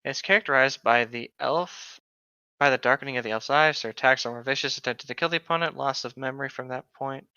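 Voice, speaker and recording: A man's voice: mid-range voice, fast talker, sharp tone, smooth, no echo, highs cut off. A young man reading aloud and chatting casually as a co-host, informal and joking, sometimes stumbling over hard words. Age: young adult. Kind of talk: casual group read-aloud with joking asides.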